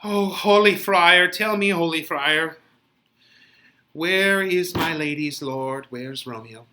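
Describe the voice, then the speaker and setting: A man's voice: a little high, takes it slowly, bright, forceful tone, slight background noise. A man voicing a fretful, woeful female nurse who laments in melodramatic Shakespearean verse. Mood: urgent. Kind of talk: dramatic Shakespeare reading.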